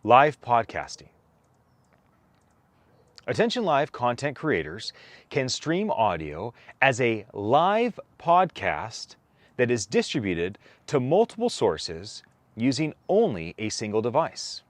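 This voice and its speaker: A man narrates a written paper aloud in a close, dry recording. His pitch moves in a lively way, and he sets out his phrases deliberately, giving weight to individual items. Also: dry recording. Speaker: co-author reading a whitepaper aloud. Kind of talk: read-aloud document narration.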